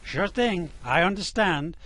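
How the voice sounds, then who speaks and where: High, chirpy ship computer. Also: male voice, expressive, a little slow, noisy background. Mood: cheerful, eager. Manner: chirpy, overfriendly. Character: relentlessly cheerful, eager-to-please ship computer. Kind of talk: amateur radio play acting.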